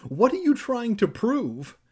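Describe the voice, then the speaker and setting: Man putting on a shrieking, panicky boy, pitched way up. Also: huge pitch swings, slow pace, fairly bright tone, slightly rough, full character voice. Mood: panicked, outraged. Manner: shrill, panicked exclaiming. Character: frantic, shrieking boy victim of a spider prank.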